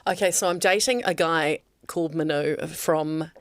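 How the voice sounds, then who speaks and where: A chatty woman tells her story as a guest, often acting out what she said and laughing along. Her voice sits a little low, and the sound is dry and close. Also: normal speed, no room sound. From New Zealand. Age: 50s. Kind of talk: casual chat.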